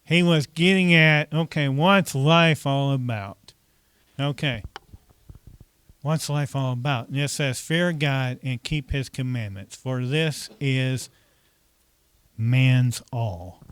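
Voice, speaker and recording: A man's voice: medium pitch, slow, fairly bright tone, clear, resonant tone. A man preaching a conversational sermon as pastor, often asking the congregation questions and saying "okay" between points.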